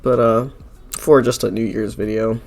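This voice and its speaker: Casual male voice-over, hoarse and gravelly from a recent cold, a bit stuffy, meandering and apologetic, average pitch, soft music underneath. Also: flat delivery, normal speed. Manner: rambling, with many fillers like "like" and "uh", apologetic. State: slightly stuffy nose. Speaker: art channel creator. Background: faint background music.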